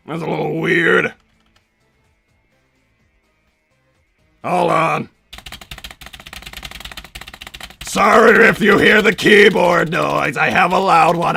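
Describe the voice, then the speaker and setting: A man voicing a bombastic middle-aged mad-scientist villain and doting father, with a raspy, gravelly voice and a theatrical, blustering manner, improvising on a livestream. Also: high voice, bright, forceful tone, full character voice. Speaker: livestream host in character. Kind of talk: improvised in-character livestream Q&A, reading out viewer messages.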